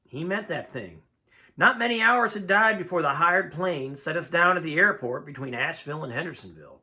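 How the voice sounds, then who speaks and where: Lively, bright-voiced man reading a story. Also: on the high side, a little fast. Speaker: amateur story reader. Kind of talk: storybook read-aloud narration.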